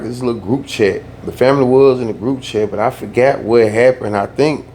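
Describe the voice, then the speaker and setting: A young man from the Southern US telling a family story in a laid-back, slangy way that turns animated when he gets worked up. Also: medium pitch, gravelly, slight background noise. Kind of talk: casual storytime.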